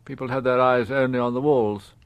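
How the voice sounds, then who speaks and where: A man playing a dignified, middle-aged upper-class father in a radio drama, confessing in formal, halting, precise phrases. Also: medium pitch, steady tone, clear, resonant tone, no room sound, dull audio, close to an everyday voice. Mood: reflective, formal.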